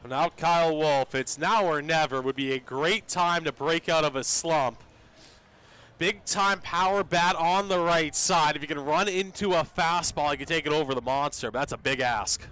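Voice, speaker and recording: A man with a bright, fairly high voice provides baseball color commentary. His delivery is clean and crisp, offering live analysis in a radio sports style. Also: moderate pace. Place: outdoor baseball stadium broadcast.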